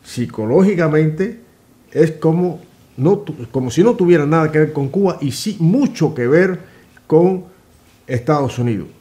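A man's voice: a little high, lively intonation, a little slow, mellow. An older man in his sixties speaks with indignant emphasis, stumbling over restarts as he presses his points. He sits in a small office studio as a guest in a political chat. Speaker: guest commentator.

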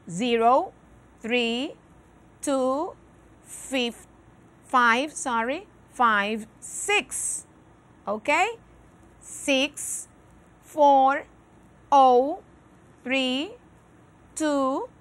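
A middle-aged woman with a Cuban Spanish accent, teaching English on television from a studio. She speaks in a fairly high voice and at a slow, careful pace, moving between English and Spanish. She is recorded cleanly on a lapel mic. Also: fairly bright tone. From Cuba. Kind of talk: televised classroom lesson. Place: TV studio with virtual set.

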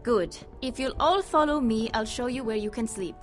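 An actress playing a friendly, gracious woman in a video game, gentle and polite in her delivery. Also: on the high side, moderate pace, faint music behind, close to an everyday voice. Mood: welcoming. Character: friendly, gracious adult woman welcoming fellow survivors. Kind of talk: naturalistic video game voice acting.

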